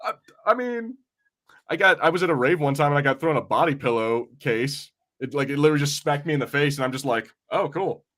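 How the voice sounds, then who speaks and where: A man's voice: a little high, lively intonation, a little fast, fairly bright tone. Casually rambling man, wry and profane. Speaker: podcast co-host. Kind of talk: casual watch-party banter and commentary.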